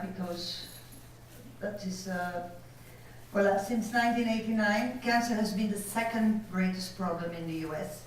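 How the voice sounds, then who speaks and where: A woman with a Belgian French accent gives a lecture, halting now and then as she searches for words. Her voice carries from a distance in a very echoey hall, with a faint hum behind it. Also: medium pitch. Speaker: conference speaker. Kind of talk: lecture with slides. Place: conference hall.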